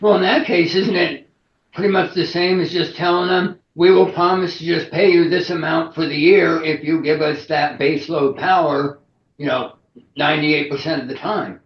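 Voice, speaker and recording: Echoey, higher-pitched man interviewing. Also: steady tone, moderate pace. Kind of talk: conversational interview questioning. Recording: roomy echo, dull muffled sound.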